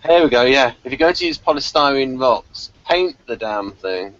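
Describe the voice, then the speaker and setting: Muffled, animated man in casual review chat. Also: medium pitch, moderate pace, dry recording. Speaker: podcast co-host reviewing a TV episode.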